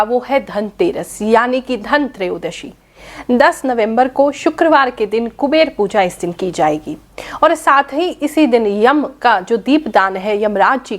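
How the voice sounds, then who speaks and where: A slightly hoarse woman with a cold, an astrologer and spiritual guide, talking viewers through festival dates, rituals and their horoscope. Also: on the high side. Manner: instructive, addresses viewers directly.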